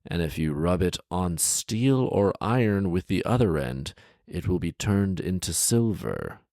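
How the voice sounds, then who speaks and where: A man's voice: low voice, sing-song, a little slow, a little mellow, no echo, studio quality, exaggerated voice. A man voicing an impulsive, bargaining genie in a comic storybook reading, in a casual, exclamatory manner. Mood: formal, rewarding. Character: male genie, impulsive, pleading, bargaining, sheepishly apologetic.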